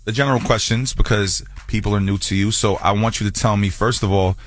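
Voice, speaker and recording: A man's voice: fairly low pitch, fairly even intonation, brisk. A man hosting a radio interview in a studio, joking and bantering with his guest. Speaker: radio DJ host.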